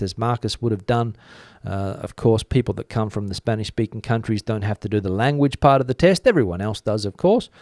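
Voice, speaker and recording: A man's voice: lowish voice, darkish tone, no room sound, studio quality. A man hosting a live stream, talking through the news in a casual, conversational way and musing as he answers viewers. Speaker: host of a live commentary stream. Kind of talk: casual news commentary, reading out viewer comments.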